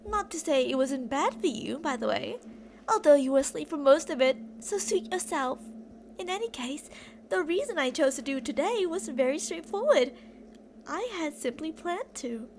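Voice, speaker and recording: High-pitched yandere kidnapper girl played by a woman. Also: expressive, moderate pace, darkish tone, a little hiss or hum. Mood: matter-of-fact rambling. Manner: rambling, teasing, theatrical. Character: obsessive delusional female yandere kidnapper, rambling to captive. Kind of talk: ASMR roleplay.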